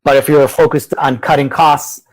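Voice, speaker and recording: A man's voice: fairly high pitch, level tone, normal speed, dry recording, poor audio. A man speaking as a student on a video conference, talking through a practical example and checking his understanding. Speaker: student in a class. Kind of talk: tentative worked-example discussion.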